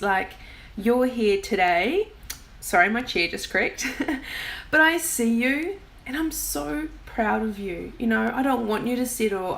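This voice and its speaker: A woman's voice: a little high, vocal fry. A woman in her forties with a New Zealand accent, a wellness coach talking straight to the viewer in an encouraging, chatty way. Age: 40s. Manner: warm, encouraging, chatty with frequent "like" and "um". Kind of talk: direct-to-camera chat.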